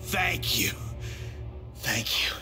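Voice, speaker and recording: An actor playing a frightened, cowardly turncoat who pleads for himself in a dramatic video game. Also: male voice, extremely slow, music in the background. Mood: desperate begging.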